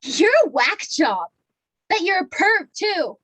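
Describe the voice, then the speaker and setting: A woman's voice: very high voice, big pitch swings, slow, a bit bright, heavily acted, poor audio. A woman playing a brash, insolent, mouthy teenage girl in a noir comedy stage reading, sassy and confrontational.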